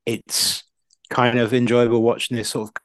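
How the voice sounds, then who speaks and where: A man's voice: medium pitch, flat delivery, moderate pace, dry recording. A man co-hosting a podcast, giving casual, discursive football punditry full of asides.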